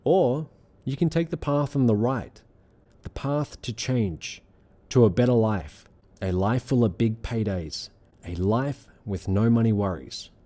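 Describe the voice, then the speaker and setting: A man reading a scripted sales pitch as presenter, in an earnest, measured, persuasive manner. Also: mellow. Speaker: presenter of an online money-making sales pitch.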